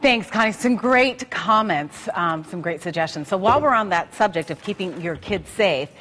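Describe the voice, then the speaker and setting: A lively woman co-hosts a TV studio chat and laughs easily. Her voice rings with a long reverb. A faint noise sits underneath. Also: on the low side, normal speed. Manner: lively, laughs easily, says she has a loud voice. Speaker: TV morning show co-host.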